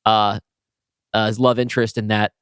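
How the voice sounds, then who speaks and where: A man's voice: lowish voice, level tone, normal speed, a bit bright, clear, resonant tone, no room sound, studio quality. A man co-hosting a podcast in casual conversation, speaking in an emphatic, enthusiastic way. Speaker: podcast co-host and writer.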